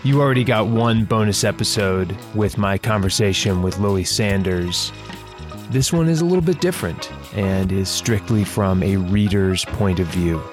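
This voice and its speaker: A man hosting a podcast, talking reflectively about fiction in a thoughtful, halting way with pauses mid-sentence. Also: on the low side, music in the background. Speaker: podcast host and fiction writer. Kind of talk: reflective conversation about a story.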